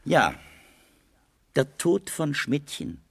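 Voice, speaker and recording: An actor playing an authoritative, methodical police captain who questions formally and insistently in a 1970s radio crime play. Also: male voice, a little high, very animated, drawn-out words, gravelly, a bit bright, slightly rough, no echo, exaggerated voice. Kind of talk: 1970s German radio crime drama acting.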